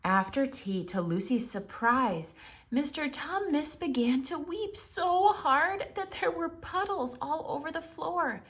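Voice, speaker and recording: Very animated, unhurried woman storyteller. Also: sits high. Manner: expressive, dramatic emphasis. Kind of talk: theatrical scripted children's story narration.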